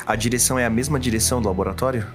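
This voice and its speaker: A man's voice: mid-range voice, very fast, music in the background. A man with a Brazilian accent, a player chatting casually during a tabletop game.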